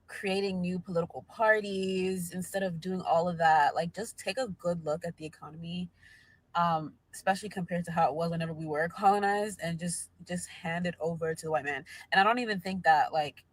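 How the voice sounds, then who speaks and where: A young woman from Nigeria speaks in a fairly low, easygoing voice, chatty and full of "like"s. The sound is dry and close, with no room around her. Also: fairly even intonation, fairly quick, dry recording, rough recording. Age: young adult. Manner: loose and chatty, heavy use of "like". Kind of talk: casual talk to camera.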